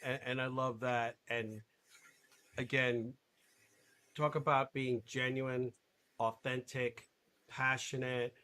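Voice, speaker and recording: Bright-voiced, animated male webinar host. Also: medium pitch, takes it slowly, long pauses. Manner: animated and emphatic, laughing often. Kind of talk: live webinar interview.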